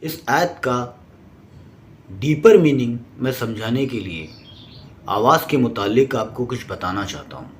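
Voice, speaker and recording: A man's voice: medium pitch, expressive, unhurried. A man narrating a scripted explainer in an emphatic, didactic manner. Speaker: presenter.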